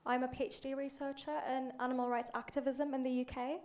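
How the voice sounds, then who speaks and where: A woman's voice: high voice, level tone, brisk, darkish tone. A young woman from Turkey, a researcher in the audience, asking a chatty question with frequent "um"s.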